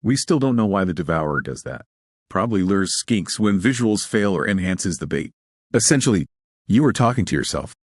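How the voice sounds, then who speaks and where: Man voicing unruffled veteran station crewman, low. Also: sing-song, brisk, dry recording, studio quality. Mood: explaining.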